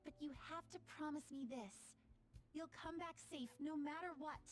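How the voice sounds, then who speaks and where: A woman's voice: high-pitched, fairly even intonation, normal speed, lightly acted. An actress playing a polite, earnest teenage schoolgirl in an anime game, with a formal and slightly hesitant manner. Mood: earnest, resigned. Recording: game music behind the voice.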